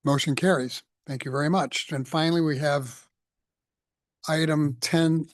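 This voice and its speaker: A man's voice: fairly high pitch, fairly even intonation, dry recording, studio quality. Man chairing a council meeting. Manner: brisk, procedural. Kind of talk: formal meeting procedure. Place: city council chamber.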